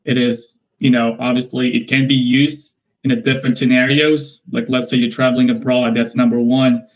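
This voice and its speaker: Filipino man interviewee, mid-pitched, steady, leans on fillers and repeats words. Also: rough recording. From Philippines. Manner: measured, repetitive, leans on "basically" and restarts. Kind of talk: casual interview answers.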